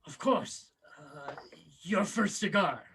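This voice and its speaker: A man playing an odd, evasive long-lost brother with a halting manner in a comedy table read. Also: on the high side, expressive, a bit bright, slightly rough, exaggerated voice. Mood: guarded.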